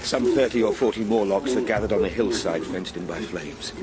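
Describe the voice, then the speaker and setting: A man's voice: on the low side, fairly quick, music in the background, natural voice. A man playing a desperate time traveler fighting for his life in a radio drama, speaking emotionally. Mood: tense. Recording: drama dialogue under loud ambient effects.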